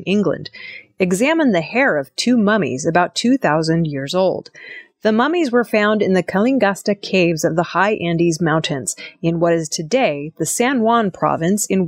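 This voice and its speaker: A woman presenting scripted news in a measured, formal manner. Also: lowish voice, no room sound, studio quality.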